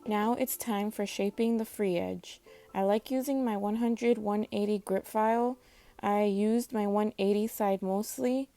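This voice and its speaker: A woman hosting a nail tutorial, giving calm step-by-step instructions in a matter-of-fact voice-over. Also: medium pitch, dry recording.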